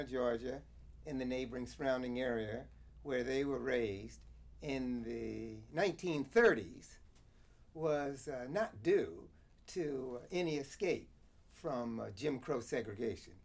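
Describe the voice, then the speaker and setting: Man in his seventies, American accent, creaky voice, deliberate formal phrasing, interview storytelling. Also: mid-range voice. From Connecticut, USA. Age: 70s. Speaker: interviewee, former attorney. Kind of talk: oral history interview, personal recollection.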